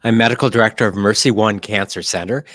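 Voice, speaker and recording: A man's voice: fairly low pitch, steady tone, fast, fairly bright tone, dry recording. A man hosting an interview, asking friendly, curious questions with some fillers. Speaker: host, medical director.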